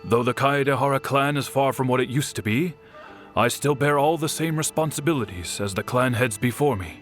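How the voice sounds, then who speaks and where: An actor playing a middle-aged clan head and father, weary and dutiful, in measured, reflective game dialogue. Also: medium pitch, fairly bright tone, faint music behind, natural voice. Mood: weary, resigned.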